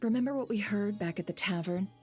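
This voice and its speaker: A woman playing a composed, shrewd female schemer in an anime game, speaking in a measured, formal, knowing way. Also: mid-range voice, close to an everyday voice. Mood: analytical. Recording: music playing behind the voice.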